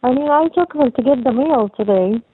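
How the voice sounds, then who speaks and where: A young adult woman chatting casually on a phone call, talking in a halting, rambling, repetitive way. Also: high voice, lively intonation. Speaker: caller talking with partner. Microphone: telephone call. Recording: tinny phone line with faint noise.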